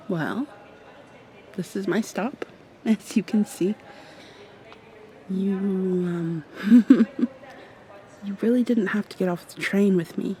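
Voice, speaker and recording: A woman playing a shy, flustered young vampire girl in love in an intimate ASMR roleplay, speaking haltingly and often dropping toward a whisper. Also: mid-range voice. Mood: flustered, affectionate. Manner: soft, halting, breathy whispers and nervous laughs.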